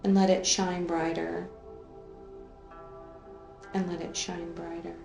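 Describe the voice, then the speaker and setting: A woman leading an unscripted guided meditation in a soothing, drawn-out way, gently repeating phrases. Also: lowish voice, little pitch movement, gravelly. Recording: roomy echo, faint music underneath.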